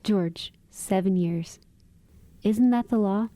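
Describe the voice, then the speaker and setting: A woman acts a wistful, kindly young woman in a radio play. Her voice is mid-pitched and very mellow, soft and candid in delivery. The recording is dry and close. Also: slow pace, no room sound, close to an everyday voice. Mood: shy, tender. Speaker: actor in a radio drama. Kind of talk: radio drama dialogue.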